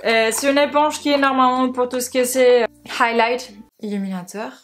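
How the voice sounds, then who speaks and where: A woman's voice: sits high, fairly even intonation, moderate pace. Spanish-accented woman chatting casually. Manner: searches for words in a second language. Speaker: beauty vlogger. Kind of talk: casual product haul chat to viewers.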